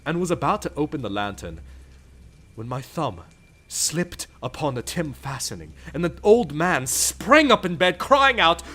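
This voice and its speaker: A man giving a dramatic reading of a gothic horror story in an intense, feverish first-person delivery, swinging from loud declarations to hushed whispers. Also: fairly high pitch, very animated, unhurried. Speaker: reader. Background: music.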